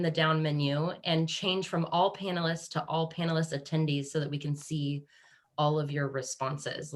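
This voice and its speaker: A woman from Kansas with an American Midwest accent, hosting a live online panel over a video call in an upbeat, encouraging way. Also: deep voice. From Kansas, USA. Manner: upbeat and encouraging, with frequent fillers and restarts. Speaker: webinar host.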